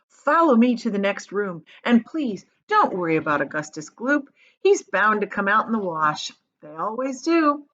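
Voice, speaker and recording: A woman's voice: mid-range voice, big pitch swings, normal speed, hardly any pauses, a bit bright, dull audio. A woman voicing an exuberant, eccentric male factory owner in a children's storybook, bossy and delighted, with showy, urging exclamations. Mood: brisk, urging. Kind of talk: children's storybook read-aloud.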